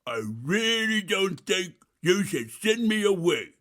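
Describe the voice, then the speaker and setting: A woman's voice: medium pitch, big pitch swings, slow pace. A woman voicing a big scruffy boy monster, slobbery, pushy and easily offended, in a children's storybook. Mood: whiny, offended. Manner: slurping, whining.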